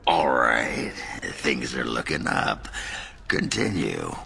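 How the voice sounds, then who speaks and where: Gravelly, very deep dwarf. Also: male voice, big pitch swings, takes it slowly, pushed and bright, a little hiss or hum, heavily acted. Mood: amused grumbling. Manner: coarse, teasing, blunt. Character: crude, boozy, gruff middle-aged male dwarf warrior. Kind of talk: fantasy video game voice acting.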